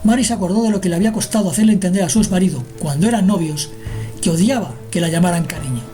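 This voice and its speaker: Female storyteller for radio fiction, scripted delivery, soft-edged warm timbre, medium pitch, music bed. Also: rapid-fire. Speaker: narrator. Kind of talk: scripted radio drama narration. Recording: music behind the narration.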